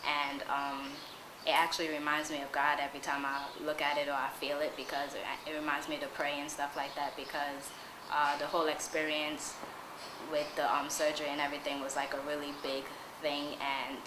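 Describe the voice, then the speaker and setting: A young woman in her early twenties speaks with a low voice, pausing on "um" and "like." She is recorded in an echoey room with clearly audible background noise. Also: little pitch movement. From Queens, New York. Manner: hesitant, with many "um"s. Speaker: interviewee. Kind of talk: casual interview.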